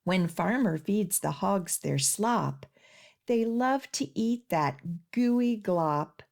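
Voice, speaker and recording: A woman hosting a children's storytime as a librarian, reading aloud in a sing-song, deliberate way for young listeners. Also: mid-range voice, lively intonation, vocal fry, a little mellow.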